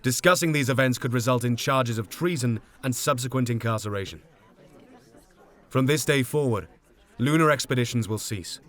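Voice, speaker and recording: A man's voice: mid-range voice, moderate pace, close to an everyday voice. An actor playing a stern, authoritative male mission commander in an audio drama. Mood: stern, resigned.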